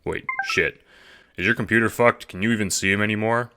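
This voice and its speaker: Low-voiced, deadpan teenage boy acted by a man. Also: fairly quick, noisy background, close to an everyday voice. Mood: wry, casual. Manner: deadpan, slangy, casual. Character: cool, laconic, sarcastic teenage boy. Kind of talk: audio drama acting.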